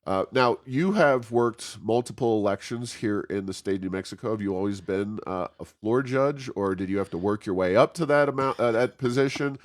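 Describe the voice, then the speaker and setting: Low-voiced, halting American man hosting. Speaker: podcast host and think tank president. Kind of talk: conversational interview.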